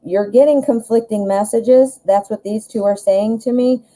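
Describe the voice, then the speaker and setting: Woman, Midwestern American accent, very warm mellow timbre, mid pitch, casual tarot host, self-deprecating, rephrases as she goes. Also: fairly even intonation, normal speed, rough recording. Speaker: tarot reader, live show host. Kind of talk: casual live tarot reading.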